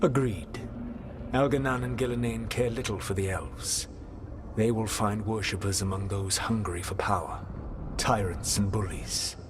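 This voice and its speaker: An actor playing an ancient elven god in a video game, speaking in a grave, formal and measured way with a learned air. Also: male voice, on the low side, noisy background, natural voice. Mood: grave counsel. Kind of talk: dramatic video game dialogue.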